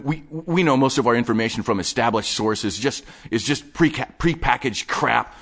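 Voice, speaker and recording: A man voicing a manic, obsessive male tape collector who talks in rapid, excitable, rambling bursts. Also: mid-range voice, pitched above the performer's own voice, lightly acted. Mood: lecturing. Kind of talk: audiobook character voice.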